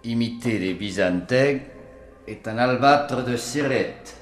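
A man playing a solemn medieval storyteller who recounts a legend slowly and ceremoniously. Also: medium pitch, soft background music, lightly acted.

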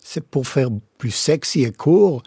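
Man, non-native speaker, middle-aged, philosopher guest, ironic and emphatic, husky, dry studio sound. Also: slow pace, no room sound. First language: not French. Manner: expressive, ironic, emphatic with sudden pitch jumps. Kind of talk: radio interview, animated explanation. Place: radio studio.

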